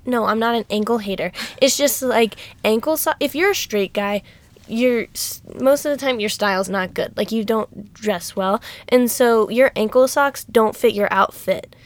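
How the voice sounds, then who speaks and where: A young woman from Alabama with a high-pitched voice, hosting a casual podcast and rambling with giggles. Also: moderate pace. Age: young adult. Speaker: podcast host.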